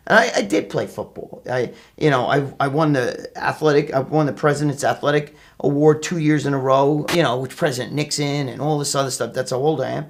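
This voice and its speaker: A middle-aged man with a New York accent telling personal stories casually, brash and profane, acting out what people said. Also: fairly high pitch. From New York, USA. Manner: brash, profane, animated retelling with acted quotes. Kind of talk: casual anecdotal storytelling.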